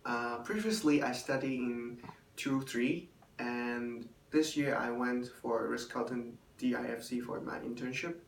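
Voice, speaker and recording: A young man, a hotel intern and native Chinese speaker, giving a halting spoken evaluation in English with many fillers. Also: mid-range voice, vocal fry. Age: young adult. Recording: roomy, distant, faint room noise.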